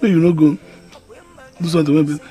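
An actor with a Nigerian accent playing a flustered village husband and pleading peacemaker, speaking haltingly and repeating himself in pidgin, in a film drama. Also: male voice, on the high side, steady tone, dark tone, soft background music, natural voice. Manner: halting, repetitive pidgin delivery.

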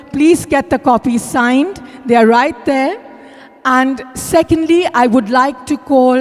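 High-pitched Indian woman hosting in echoey hall. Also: a little mellow. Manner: emphatic, announcing to a crowd. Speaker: event host. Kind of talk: formal vote of thanks at a live event. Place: large hotel ballroom. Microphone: handheld microphone through PA. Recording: echoey hotel ballroom PA, long reverb.

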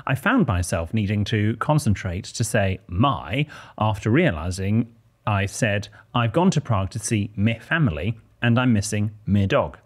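Man in his 30s, podcast co-host reading letters, low husky voice, lively melodic delivery, close studio mic. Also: unhurried. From Bristol, England. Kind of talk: casual chat and reading listener letters. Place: small podcast studio, indoors. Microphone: close studio mic on boom arm, headphones.

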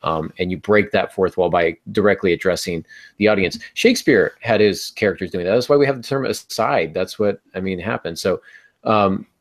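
A man's voice: sits low, moderate pace. A middle-aged man hosting a panel podcast, explaining in a conversational way and posing rhetorical questions. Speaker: podcast host. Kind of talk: conversational writing-advice panel talk. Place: small room at home, indoors. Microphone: earbud headset on video call.

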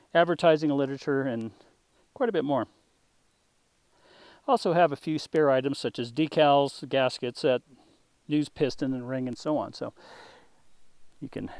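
A man presenting a restored vintage motorcycle for sale, talking in an unhurried way with a touch of humor while the camera circles the bike outdoors. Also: mid-range voice, a little mellow, dry recording. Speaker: classic motorcycle dealer. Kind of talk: off-camera sales walkthrough.

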